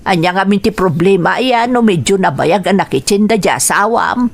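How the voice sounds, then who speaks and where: A woman with an Ilocano accent playing a caring, protective older grandmother in a radio drama, with drawn-out motherly interjections. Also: medium pitch, vocal fry. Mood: gently chiding. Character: older grandmother, caring and defensive of her daughter.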